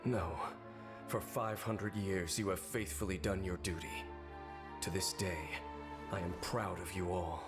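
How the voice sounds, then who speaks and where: A stoic knight captain from a video game, very low and breathy. He speaks very slowly, solemn and weary, with restrained gravity. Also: steady tone, over loud music. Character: stoic, weary centuries-old knight captain, youthful-looking man. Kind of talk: video game character acting.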